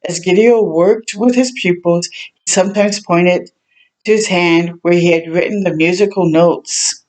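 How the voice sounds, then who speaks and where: A woman reading a children's story aloud as a storytime host, adding gentle chatty reactions as she goes. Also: fairly low pitch, steady tone, unhurried, poor audio. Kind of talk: read-aloud narration with chatty asides.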